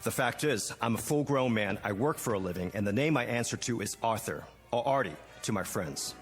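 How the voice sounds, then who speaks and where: A man's voice: lowish voice, animated, fairly quick, faint music behind, natural voice. An actor playing a proud, defensive working man, earnest and curt, in a vintage TV drama. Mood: indignant. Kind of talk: 1960s television drama acting. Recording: reverberant vintage TV soundtrack.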